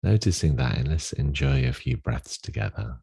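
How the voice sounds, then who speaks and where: A man with a soft, husky voice guides a meditation, giving gentle instructions with long pauses. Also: extremely low pitch, lively intonation.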